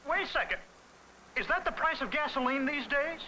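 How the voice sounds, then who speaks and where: An actor playing an excitable, dithering customer in a comic 1970s educational film, arguing emphatically with himself. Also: male voice, very high-pitched, a little fast, a little hiss or hum, exaggerated voice, poor audio. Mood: agitated. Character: excitable, indecisive customer agonizing over prices. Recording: 1970s film soundtrack with music.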